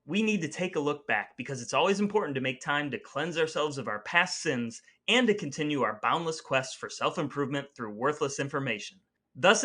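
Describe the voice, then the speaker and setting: A man with a fairly high, forceful voice hosts a podcast. His delivery is chatty but partly scripted, with playful mock grandeur, and faint music runs along with it. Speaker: podcast co-host.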